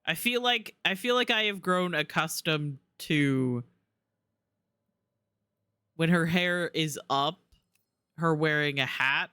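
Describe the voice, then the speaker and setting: A man's voice: a little high, slow pace, pauses often, sharp tone, clear, resonant tone, no room sound. A man giving casual live gameplay commentary as a streamer, affectionate and gushing, close on the microphone. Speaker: video game streamer.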